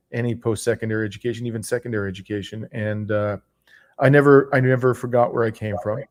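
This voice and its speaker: A Canadian man in his sixties telling his background as an interview guest, articulate and measured, pausing often mid-sentence. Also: fairly low pitch, darkish tone. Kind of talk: reflective autobiographical interview talk.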